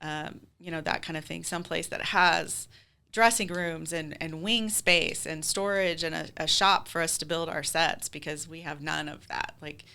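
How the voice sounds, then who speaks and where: A woman's voice: deep voice, normal speed, gravelly, bright, forceful tone, clean tone. A middle-aged woman answering interview questions as a community theater leader, speaking in an earnest, heartfelt, conversational way.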